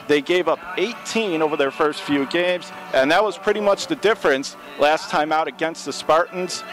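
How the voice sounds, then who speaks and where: A young male radio announcer calling a college baseball game pitch by pitch. His voice sits a little high for a man, and he keeps an even, measured delivery that leaps upward when a strikeout or error happens. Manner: steady, measured calling that rises sharply on big plays. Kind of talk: baseball play-by-play commentary.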